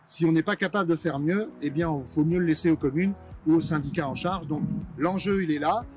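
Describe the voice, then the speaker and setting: A man speaking as a local government official, giving a prepared institutional statement in a measured way with frequent hesitations. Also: on the high side, a little mellow, soft background music.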